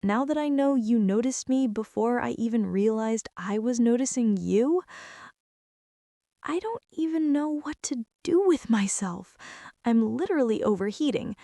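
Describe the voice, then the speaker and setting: A woman's voice: a little high, mellow, dry recording. Woman acting a bossy, smitten college girl, close and dry. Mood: flustered, giddy. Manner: bossy, teasing, intimate, breathy asides. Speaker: ASMR roleplay performer. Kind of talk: girlfriend ASMR roleplay, intimate scripted monologue.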